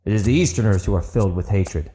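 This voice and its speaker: A man playing a stern, authoritative leader in a fantasy audiobook, speaking gravely and formally. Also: lowish voice, quick pace, dark tone, a little hiss or hum, natural voice, rough recording. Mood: scornful.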